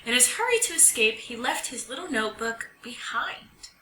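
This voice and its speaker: A woman reads a children's picture book aloud with a highly animated delivery. Her voice is fairly high and very bright, and the room echoes around her. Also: normal speed, soft background music. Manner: expressive, animated storytelling delivery. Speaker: storybook reader. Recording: roomy echo, distant mic, faint noise.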